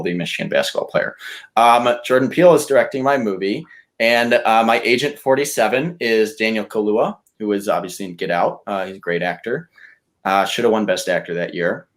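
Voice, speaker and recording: Guy w a lowish voice pitching stuff on a podcast, casual and chatty. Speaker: podcast contestant pitching films. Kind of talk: casual chat with read-out pitches.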